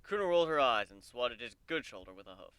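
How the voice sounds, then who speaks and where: Man, story narrator, scripted dramatic reading, medium pitch, crisp, bright, dry sound. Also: big pitch swings, fast talker, dry recording. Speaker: narrator of a fan fiction reading.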